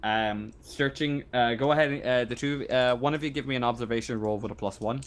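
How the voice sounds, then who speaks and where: A man acting as game master, narrating a tabletop roleplaying game casually and haltingly. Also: medium pitch. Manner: halting, feeling out descriptions as he goes.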